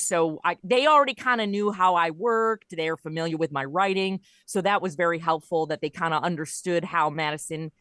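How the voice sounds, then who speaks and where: A woman's voice: on the low side, a bit bright, no room sound, studio quality. A woman chatting casually as an interview guest, explaining her creative work in a talkative storytelling way. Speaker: interview guest, audio drama writer and producer.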